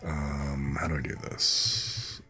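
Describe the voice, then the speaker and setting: A hoarse man hosting a live stream, chatting in a relaxed, rambling, soft-spoken way. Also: a bass voice, takes it slowly, soft background music. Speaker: streamer host. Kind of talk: laid-back stream chat.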